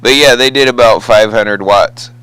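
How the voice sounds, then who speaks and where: A man's voice: lowish voice, normal speed, fairly bright tone, clear, resonant tone, poor audio. An American man chatting casually over the radio as a hobbyist, with an easygoing, folksy manner. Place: two-way CB radio conversation.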